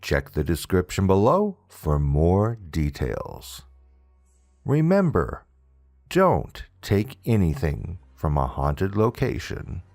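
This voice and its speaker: A man narrating a scary paranormal story from a script, dramatic and deliberate, with measured pauses. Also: deep voice, creaky, darkish tone. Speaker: horror story narrator and channel host.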